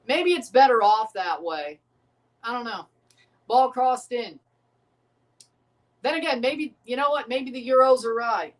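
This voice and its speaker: A man hosts a relaxed livestream reaction, talking in loose, profane rambles. He keeps doubting his own points as he goes. His voice sits a little back from the microphone. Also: very high voice, unhurried, long pauses, far from the mic, poor audio. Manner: rambling, profane, second-guessing himself. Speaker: livestream host reacting to a match. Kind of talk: casual livestream reaction chat.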